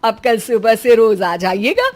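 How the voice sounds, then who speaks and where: A woman's voice: a little high, very animated, measured pace, a little mellow. A woman voicing a polite, modest charity school worker in a moral story.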